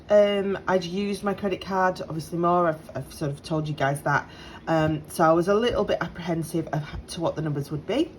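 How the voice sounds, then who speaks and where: A woman in her late thirties from the UK, slightly croaky, chatting casually and apologetically to camera with lots of ums, at home with appliances humming faintly. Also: on the low side, rough recording. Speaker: budgeting vlogger. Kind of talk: casual direct-to-camera update. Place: home on a Sunday morning. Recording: faint appliance hum behind. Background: dishwasher and washing machine running.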